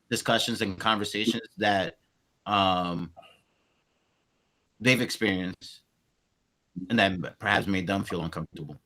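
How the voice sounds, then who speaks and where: Brief: podcast guest, man in his forties, Colombian-born, Spanish-inflected accent, low-ish, forceful voice, reflective and searching for words. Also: low-quality recording. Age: 40s. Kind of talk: casual long-form conversation.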